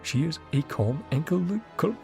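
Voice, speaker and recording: A man's voice: on the high side, lively intonation, measured pace, creaky, mellow. A man streaming a game, commenting casually and reading game text aloud. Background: faint game music.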